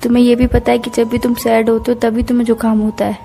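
A young woman talks on a recorded, emotional phone call. Her voice is fairly high and mellow, with a plaintive manner and little movement in pitch. Music plays behind her. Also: completely flat delivery, fast talker, gravelly. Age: young adult. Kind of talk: emotional private phone conversation.

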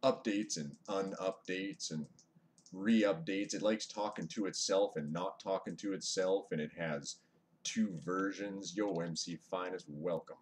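A man's voice: low voice, unhurried. A man streaming a game live from his computer, chatting with viewers and muttering over his play, recorded on a webcam mic set back from him. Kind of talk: casual live-stream chat while gaming. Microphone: webcam microphone, away from mouth. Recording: faint hiss from webcam mic.

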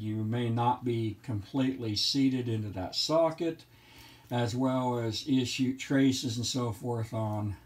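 Guy with avg pitch doing a technical walkthrough, real slow n methodical, just matter of fact. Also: darkish tone. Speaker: hobbyist presenter. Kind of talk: explanatory demonstration narration.

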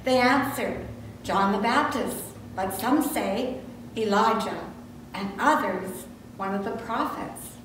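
A woman's voice: fairly high pitch, slow, fairly bright tone. Older woman reading in very echoey church. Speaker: lay reader in church service. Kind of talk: scripted liturgy and scripture reading. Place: medium-sized church sanctuary, indoors. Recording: very echoey church, distant mic, noisy.

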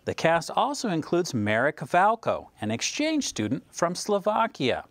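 A man's voice: on the high side, huge pitch swings, measured pace, dry recording. A middle-aged American man presenting a scripted news feature.

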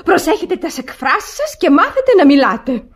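A woman's voice: sits high, very animated. A woman playing a haughty, scandalized aristocratic mother-in-law in a radio farce, with formal, theatrical speech. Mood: haughty, offended. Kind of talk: theatrical radio comedy acting.

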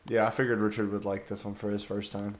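A man's voice: on the low side, level tone, fast. A man joking and swearing casually while playing a horror game with friends. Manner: joking, profane. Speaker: video game player. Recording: echoey voice chat with faint noise.

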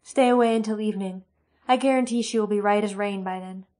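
A woman's voice: medium pitch, dark tone, close to an everyday voice. A woman doing a bossy, motherly village woman. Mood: brisk. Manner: brisk, fussing, no-nonsense.

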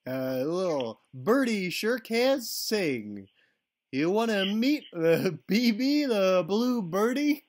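A man voicing an excitable, simple-minded man-child who talks in broken grammar and squeals a bird song on a prank call. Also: sits high, slow, voice pushed up, heavily acted. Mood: giddy.

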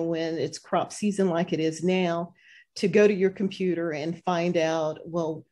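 A woman's voice: lowish voice, dark tone. An older woman farmer on a video call webinar, giving earnest practical advice with frequent "um" and "you know". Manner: earnest, advisory, many fillers. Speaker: farmer panelist. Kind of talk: webinar panel answer. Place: home office with ceiling fan. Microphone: video call webcam.

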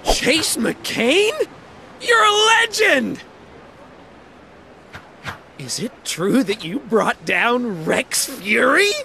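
Goofy eager young cop, squeaky high, really slow, cartoony game. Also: male voice, sing-song, creaky, pushed and bright, slight background noise, heavily acted. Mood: starstruck. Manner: starstruck, goofy. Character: naive, eager young male rookie policeman. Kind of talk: cartoonish video game acting.

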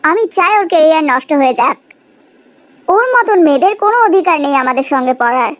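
A vengeful young college villainess played by a woman in a cartoon drama. She is haughty and commanding, giving spiteful orders in an extremely high voice. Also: lively intonation, fast talker. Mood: vengeful, indignant. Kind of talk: animated cartoon drama dialogue.

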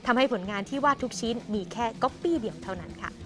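A woman's voice: fairly high pitch, expressive, quick pace, over music. A woman entertainment news presenter narrating a scripted feature in a chatty, polite manner. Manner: chatty, frequent polite particles.

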